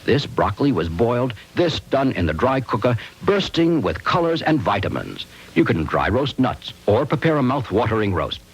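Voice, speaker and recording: A man's voice: medium pitch, breathy edge. A man announcing a TV product commercial in an upbeat, selling voice. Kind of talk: infomercial voice-over. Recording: noisy broadcast recording.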